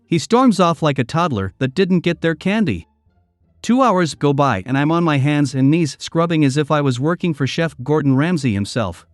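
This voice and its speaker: A man narrates read-aloud stories in a steady, even manner. His voice sits a bit high for a man. The recording is close and dry, with soft music playing underneath. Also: moderate pace, no room sound. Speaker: narrator reading online posts.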